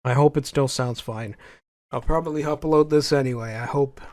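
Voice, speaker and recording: A man's voice: mid-range voice, normal speed, a little mellow, no echo, clean studio sound. A young man hosting his own podcast in casual solo chat, stuffy-nosed from a cold, rambling and repeating himself as he corrects his own phrasing. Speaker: podcast host. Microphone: home podcast microphone.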